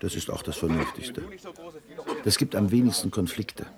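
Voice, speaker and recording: An actor playing a middle-aged, weary and pessimistic office clerk in a science-fiction radio drama, resigned and dry in manner. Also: male voice, sits low, moderate pace, a crowd in the background. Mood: bitter, weary. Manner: resigned, dry, matter-of-fact. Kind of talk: German radio drama acting.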